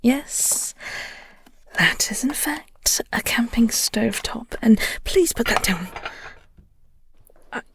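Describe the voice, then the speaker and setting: A breathy, half-whispered English woman played by a voice actress, a flirty office rival with a tender streak. She takes her time, teasing and soothing in a genteel, halting way, as in an intimate audio roleplay. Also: on the high side, expressive, full character voice. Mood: flustered, amused. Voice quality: soft, breathy. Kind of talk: intimate audio roleplay, soft comforting ASMR acting.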